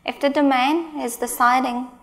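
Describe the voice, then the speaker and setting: High-pitched woman giving a tech talk. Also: fairly even intonation, moderate pace. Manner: emphatic, exasperated asides. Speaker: conference speaker. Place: large stage in a hall.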